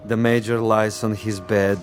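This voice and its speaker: A man acts out a Soviet commissar with a low voice, patient and menacing. He speaks formally and with soft mockery, as if toying with his prey. Music plays clearly behind this radio drama performance. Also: little pitch movement, measured pace, natural voice. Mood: sly, menacing.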